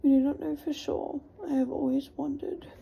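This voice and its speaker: A woman's voice: sits high, level tone, moderate pace, vocal fry, dull audio. A woman whispering a terrified young woman. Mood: frightened pleading. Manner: whispered, pleading. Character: frightened young woman haunted by a lost friend.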